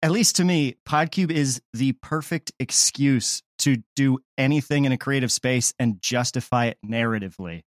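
A man speaks as an interview guest, explaining creative ideas in a casual, enthusiastic way. His pitch sits a touch high for a male voice, and the sound is dry, with very little room in it. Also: moderate pace, hardly any pauses, dry recording.

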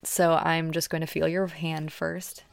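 Woman acting a creaky-voiced, guarded young palm reader. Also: low voice, flat delivery, measured pace, dry recording, studio quality. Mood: offhand, guarded. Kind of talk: audio drama acting.

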